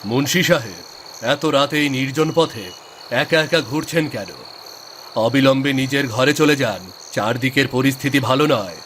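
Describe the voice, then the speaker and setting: A man's voice: mid-range voice, moderate pace, a bit bright, lightly acted. A man voicing a stern, commanding police inspector in a cartoon, speaking formally and with authority. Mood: stern, resolute. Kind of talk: dramatic cartoon dubbing. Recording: clear night insect noise behind. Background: crickets, frogs, night insects.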